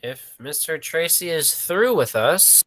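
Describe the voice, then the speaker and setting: A man's voice: a little high, animated, takes it slowly, fairly bright tone. Courteous, reserved circus manager played by a man. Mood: polite. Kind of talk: 1940s radio play acting.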